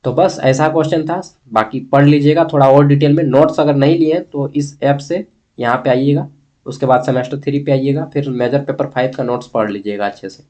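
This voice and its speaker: A man's voice: mid-range voice, steady tone, brisk, darkish tone, lo-fi sound. A man with a Bihar-Jharkhand accent from eastern India, giving an informal exam-review lesson as a blunt, opinionated teacher who keeps checking with listeners. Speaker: history teacher.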